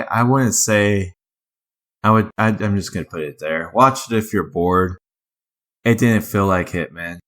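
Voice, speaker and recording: A man's voice: low voice, fairly bright tone. A man giving casual, off-the-cuff review commentary as a video host, rambling and often correcting himself mid-sentence. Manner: rambling, self-correcting, halting. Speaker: host of a movie ranking video.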